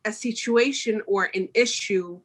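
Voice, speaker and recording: A woman's voice: medium pitch, measured pace. Woman being interviewed. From Switzerland. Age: middle-aged. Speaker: podcast guest, makeup artist. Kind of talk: conversational interview answers.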